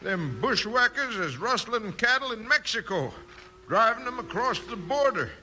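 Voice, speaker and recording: A folksy frontier vet in a 1950s radio western. The male voice is very high and extremely bright, with a rustic Western accent and hesitant starts. A faint noise from the vintage recording sits behind it. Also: very animated, normal speed, full character voice, rough recording. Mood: earnest. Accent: American Western. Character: excitable frontier cattle vet, folksy informant.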